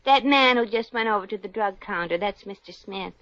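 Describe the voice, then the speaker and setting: A woman's voice: mid-range voice, a little fast, a bit bright, close to an everyday voice. An actress playing a brassy, slangy young working-class woman in a 1950s radio drama. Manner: slangy, street-smart, ungrammatical. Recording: dull old radio recording.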